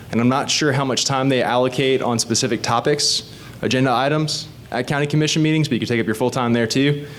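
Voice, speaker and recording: A man in his thirties talks plainly and conversationally to a local group, explaining things step by step. His voice sits at an ordinary middle pitch, and a faint low rumble runs underneath. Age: 30s. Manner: conversational and explanatory, often asks the audience questions. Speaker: state legislative candidate. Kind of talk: informal talk to a community group.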